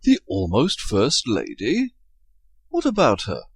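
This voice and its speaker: An actor playing a nosy, dryly absurd British colleague in a comedy audio drama, with a British accent. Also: male voice, fairly low pitch, wildly swooping pitch, slow pace, vocal fry, breathy edge, dry recording, studio quality, exaggerated voice. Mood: droll, curious.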